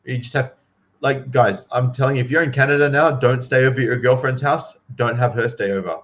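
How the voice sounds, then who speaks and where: A man's voice: mid-range voice, fairly even intonation, brisk, a bit bright, lo-fi sound. A man giving casual, opinionated commentary to livestream viewers in an incredulous, emphatic, slangy manner.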